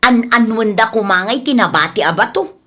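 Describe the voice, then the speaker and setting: A woman's voice: a little high, animated, moderate pace, fairly bright tone. A woman playing a stubborn, grabby village housewife who argues back in whining retorts, in a comedic radio drama. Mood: indignant, whining.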